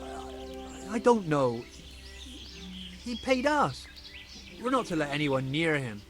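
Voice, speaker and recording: An actor playing a sarcastic, evasive charcoal burner in a video game, defensive and easily rattled. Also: male voice, a little high, sing-song, takes it slowly, fairly bright tone, soft background music, exaggerated voice. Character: cocky defensive male charcoal burner, adult.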